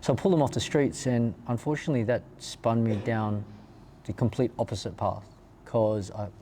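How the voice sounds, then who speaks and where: A man's voice: lowish voice, steady tone, moderate pace, warm tone. A man from Perth with an Australian accent, telling their story in a casual, informal and slightly rambling way as an interview guest. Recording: clear background ambient noise.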